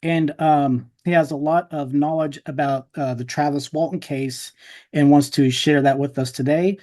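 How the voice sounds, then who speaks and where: Casual male podcast host, slightly high voice. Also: fairly even intonation, normal speed, mellow. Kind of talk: casual podcast interview chat.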